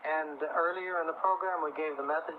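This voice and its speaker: Male radio guest expert, assured and conversational, fairly high voice, narrow-band noisy 1980s recording. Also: steady tone, brisk. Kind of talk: casual explaining on a call-in radio show. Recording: tinny, very noisy 1980s radio broadcast tape.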